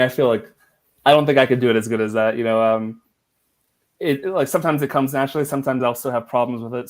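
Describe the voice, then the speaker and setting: A man hosts a relaxed podcast conversation, asking long, winding questions. He answers the guest with short murmurs of agreement. His voice sits at a middle pitch. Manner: frequent short backchannels, asks long questions. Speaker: podcast host. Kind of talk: casual podcast interview.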